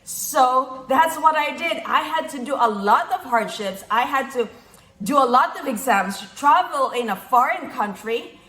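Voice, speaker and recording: A woman with a Filipino accent speaks in a notably high voice, with clear room echo around it. She delivers a motivational talk point by point, emphatically repeating the phrases that matter to her. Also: a bit bright. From Philippines. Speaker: motivational speaker, physician and investor. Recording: echoey room sound.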